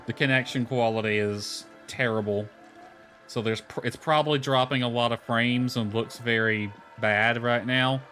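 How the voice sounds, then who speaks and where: A man's voice: mid-range voice, fairly even intonation, normal speed, sharp tone. A man giving casual, rambling commentary on a game livestream, mildly grumbling as he goes. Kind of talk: casual gameplay stream commentary. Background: music.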